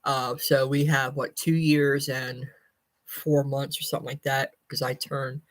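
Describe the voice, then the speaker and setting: A woman's voice: extremely low pitch, level tone, measured pace, no echo. Dry-sounding, rambling woman in her fifties. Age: 50s. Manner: rambling, repeats and corrects herself, folksy wording. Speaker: personal vlogger giving a life update. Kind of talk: casual chat to viewers.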